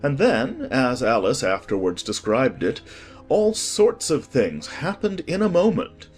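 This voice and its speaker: A man narrating a scripted storybook in an expressive, deliberate way. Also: mid-range voice. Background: music.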